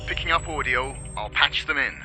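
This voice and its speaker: A man playing a brisk, businesslike intelligence operator reporting on a mission in a video game. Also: mid-range voice, over music, close to an everyday voice. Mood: focused, businesslike. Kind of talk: cinematic video game acting.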